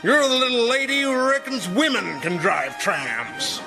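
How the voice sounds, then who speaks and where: Loud music backs a brisk company boss in a parody film trailer. He is authoritative and deadpan, treating everything as routine business. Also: extremely high pitch, creaky, full character voice. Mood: matter-of-fact. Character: brisk, matter-of-fact male tram company boss. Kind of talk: parody film drama acting.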